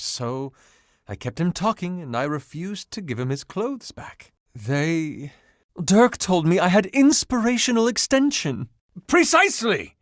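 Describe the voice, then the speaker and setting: An actor playing a flamboyant, excitable washing machine in a video game, grandiose, romantic and melodramatic in delivery. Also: male voice, a little high, no echo, studio quality. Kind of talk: theatrical video game voice acting.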